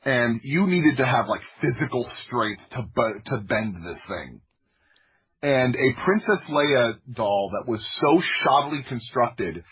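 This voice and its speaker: A man from the United States telling personal stories casually as a podcast guest, in an informal, humorous way with a husky voice. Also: mid-range voice, dull audio. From United States (Florida). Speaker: podcast guest, audio drama creator.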